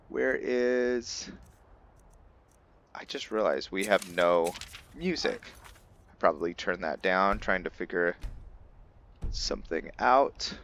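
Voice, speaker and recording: A man's voice: fairly low pitch, bright, forceful tone, slight background noise. A man giving casual gameplay commentary as a let's player, thinking aloud in short bursts.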